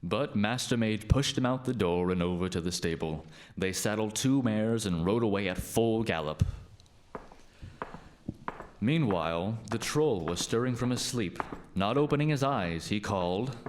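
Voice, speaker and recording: Low-voiced male storyteller. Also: expressive, a little slow. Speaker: narrator. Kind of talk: scripted storytelling narration.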